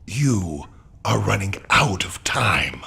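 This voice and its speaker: A cold conspirator boss in a video game cutscene, speaking in a deep gravelly rasp. His words come slow and clipped. His pitch swings widely as he threatens. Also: big pitch swings, bright, forceful tone, heavily acted. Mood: cold menace. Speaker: shadowy superior giving orders.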